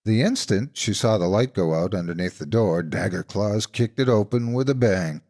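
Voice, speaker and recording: A man narrating a horror folktale in an expressive, suspenseful, scripted storytelling style. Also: on the low side, moderate pace.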